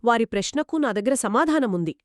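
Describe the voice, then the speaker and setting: A woman's voice: on the high side, expressive, a little fast, no room sound, studio quality, lightly acted. A woman storyteller voicing a brave, poor ten-year-old girl with a confident, earnest manner.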